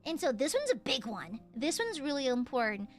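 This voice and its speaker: High, lively young woman with a clear voice. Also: normal speed, faint music behind. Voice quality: clear, slightly nasal. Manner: animated, laughs often. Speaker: streamer. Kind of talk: casual chat.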